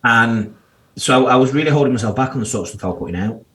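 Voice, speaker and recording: A man's voice: medium pitch, quick pace, a bit bright. A man in his thirties with a strong Yorkshire accent, a financial planner speaking candidly as a podcast guest, with slang and the odd curse. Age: 30s. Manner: candid, blunt, uses slang and swearing. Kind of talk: casual podcast interview.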